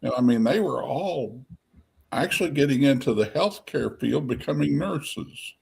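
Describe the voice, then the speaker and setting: A man's voice: medium pitch, animated, unhurried, creaky, a little mellow, breathy edge. A man speaking as a guest on a podcast panel, rambling in a loose conversational way. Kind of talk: casual panel chat.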